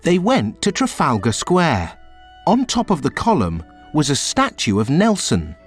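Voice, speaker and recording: A man reading a children's storybook aloud in a slow, very expressive storytelling way. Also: on the high side, soft background music. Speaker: storybook reader. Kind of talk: children's read-aloud narration.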